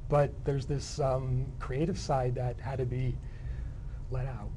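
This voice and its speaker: A man's voice: medium pitch, little pitch movement, slow pace, mellow. Understated middle-aged man, noisy room. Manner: understated, modest. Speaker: interview guest, playwright. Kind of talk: casual interview chat.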